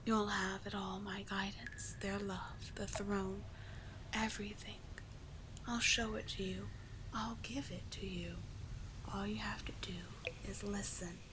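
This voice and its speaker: A woman voicing a seductive, manipulative sea witch in a soft breathy whisper, coaxing and tenderly possessive, in an ASMR roleplay style. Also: medium pitch, flat delivery, measured pace, background noise, close to an everyday voice.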